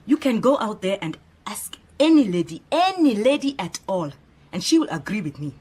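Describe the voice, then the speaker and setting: Animated, opinionated woman assistant. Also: mid-range voice, moderate pace, breathy edge. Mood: firm, opinionated. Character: earnest, opinionated female detective's assistant, proud and chatty. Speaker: actor in radio drama. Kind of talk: radio drama acting.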